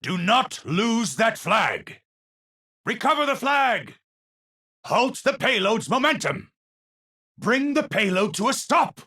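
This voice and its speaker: An actor playing an eccentric, unstable scientist in a video game, speaking in a theatrical, formal and halting way. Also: male voice, very high-pitched, very animated, bright, forceful tone, husky, exaggerated voice. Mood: urgent, commanding. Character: eccentric, unstable male scientist awed by the universe. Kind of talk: video game character voice lines.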